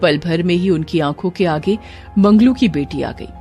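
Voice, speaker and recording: A woman's voice: lowish voice, normal speed, dark tone, faint music behind. A woman narrator delivering dramatic, scripted storytelling for a cartoon.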